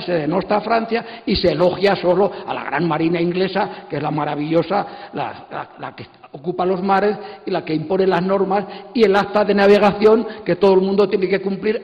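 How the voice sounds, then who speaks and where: A man's voice: sits high, brisk, few pauses. An older man giving an impassioned, emphatic, digressive talk on a conference stage into a handheld microphone. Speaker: panel speaker, comics artist. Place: auditorium stage. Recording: echoey hall PA, muffled.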